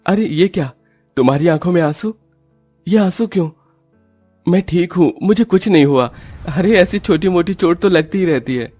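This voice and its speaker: Man acting struggling young husband, cartoon family drama, lifted pitch, earnest, tender, dry recording. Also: normal speed, dark tone, no echo, faint music behind. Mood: reassuring, eager. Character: poor, hardworking young husband, tender and anxious. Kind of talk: Hindi cartoon story voice acting.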